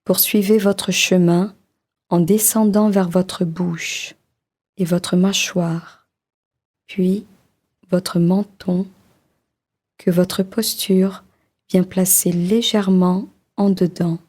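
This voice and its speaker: A woman leading a guided body-scan meditation in a slow, soft, soothing voice with long pauses. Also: fairly low pitch, flat delivery.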